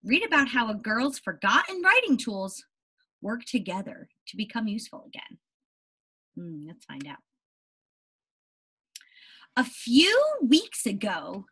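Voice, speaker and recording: A woman's voice: fairly high pitch, fairly bright tone, husky. A young woman teaching a read-aloud lesson to young children, speaking expressively, stressing and repeating key words and checking in often. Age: young adult. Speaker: elementary school teacher. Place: small indoor room with a whiteboard.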